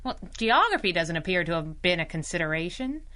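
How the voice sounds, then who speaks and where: Bright, plucky sidekick. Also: female voice, fairly low pitch, sing-song, brisk. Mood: businesslike. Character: plucky, wisecracking young female crime-fighting sidekick. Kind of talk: 1930s-style radio drama acting.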